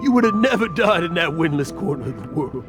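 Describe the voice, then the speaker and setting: A man's voice: fairly high pitch, very animated, fairly quick, over music. A man voicing an aging, boastful adventurer in a video game, who stammers at times and grows mournful over a lost partner. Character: aging boastful male adventurer, guilt-ridden.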